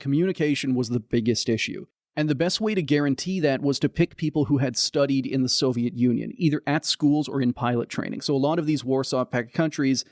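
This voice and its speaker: A man's voice: medium pitch, a little mellow, dry recording, studio quality. A man co-hosting a casual history podcast, telling the story in a laid-back way with lots of fillers. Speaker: podcast co-host.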